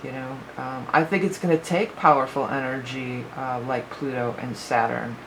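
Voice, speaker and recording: A woman's voice: very deep voice, fairly even intonation, normal speed, noisy background. A woman giving a casual spoken astrology forecast as a psychic reader, rambling and thinking aloud with many fillers, her voice a little worn.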